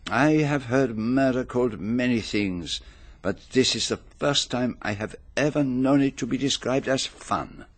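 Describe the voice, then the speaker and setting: An actor playing a shrewd, courtly detective with a Belgian French accent, precise and formal, in a radio drama. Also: male voice, medium pitch.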